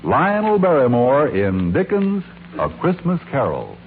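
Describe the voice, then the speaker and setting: A man's voice: medium pitch, wildly swooping pitch, a little slow. A man narrating a radio play in a theatrical, declamatory 1940s storytelling style. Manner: dramatic, declamatory, storyteller phrasing. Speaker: announcer and narrator. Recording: tinny 1940s radio broadcast, music behind.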